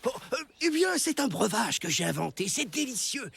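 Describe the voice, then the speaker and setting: An actor playing a kindly, eccentric mentor in a French cartoon, with a creaky voice and a gentle way of explaining. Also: mid-range voice, very animated, normal speed, slight background noise, heavily acted. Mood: bashful, explaining.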